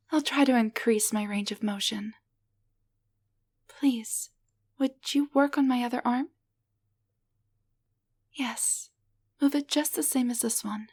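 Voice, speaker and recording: A woman voicing a timid, grateful tiny enchanted doll in an intimate roleplay audio drama, speaking softly, gently and haltingly with a breathy voice. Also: high voice, full character voice. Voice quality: soft, breathy.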